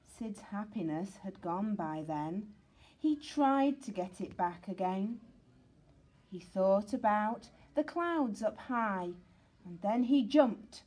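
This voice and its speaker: Very slow, lively woman reading a storybook. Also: mid-range voice. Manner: deliberate, expressive reading for young children. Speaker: storyteller reading a picture book aloud. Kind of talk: children's storybook narration.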